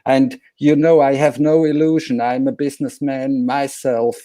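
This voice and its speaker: Bright-voiced man, emphatic, close and dry. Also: medium pitch, flat delivery, normal speed, no echo. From Switzerland. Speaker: guest, businessman and former football player. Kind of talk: animated opinion talk about football.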